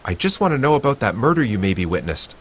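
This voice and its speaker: Man doing a ghost king, casual, offhand, lively pitch, faint background hum. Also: fast talker, close to an everyday voice. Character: ghost of a murdered king writing notes.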